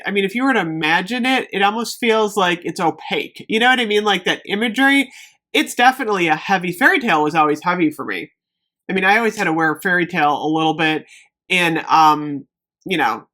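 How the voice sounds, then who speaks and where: A woman's voice: mid-range voice, a bit bright. Chatty woman reviewing products casually. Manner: chatty, emphatic, thinking aloud. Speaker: beauty product review vlogger.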